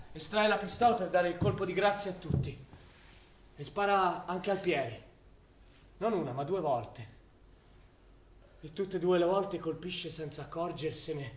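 An actor playing a grave narrator of a wartime tragedy, with theatrical delivery that moves between hushed and forceful. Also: male voice, on the high side, lightly acted. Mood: urgent, intense. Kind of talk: dramatic scripted stage narration. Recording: echoey, distant recording with faint noise.

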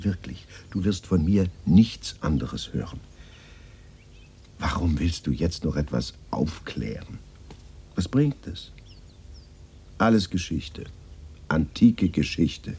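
Very husky and low, a man who survived the war and is tired of it. He is defensive and evasive, resigned and subdued, in the dialogue style of a radio drama. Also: dark tone, heavily acted.

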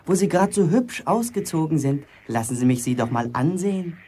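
An actor playing a cheeky, boastful young bricklayer in a German radio play, talking colloquially and defiantly. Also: male voice, fairly high pitch, expressive, brisk, mellow, slightly rough. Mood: defiant, mocking. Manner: colloquial, provocative, self-justifying.

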